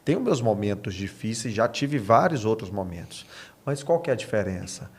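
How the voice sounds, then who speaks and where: A Brazilian man with a fairly low voice talks like a pastor in an interview. He explains in a conversational but preacherly way, repeating key phrases for emphasis. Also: big pitch swings, moderate pace, breathy edge. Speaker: pastor, podcast guest.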